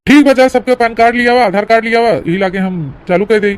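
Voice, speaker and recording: A high-voiced cartoon insurance agent , a man acted by a woman, speaks with an Awadhi accent. He explains patiently and persuasively, in the homely, colloquial patter of a village salesman. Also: fast talker, clear, resonant tone, lightly acted. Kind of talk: Awadhi family comedy cartoon.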